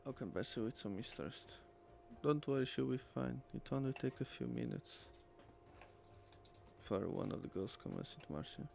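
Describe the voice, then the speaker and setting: Man narrating in a creaky voice. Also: medium pitch, big pitch swings, a little mellow. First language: Portuguese. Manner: halting, stumbling over words. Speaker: streamer reading a visual novel aloud. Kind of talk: amateur dramatic read-aloud of visual novel narration.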